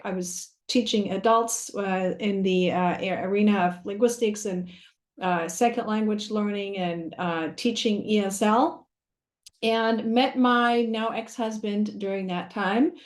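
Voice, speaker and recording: A middle-aged woman from Germany, a native German speaker, telling her life story at an online open mic, measured and pausing often with fillers. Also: mid-range voice. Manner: measured, with frequent filler pauses. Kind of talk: personal story told at a networking event. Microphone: video call.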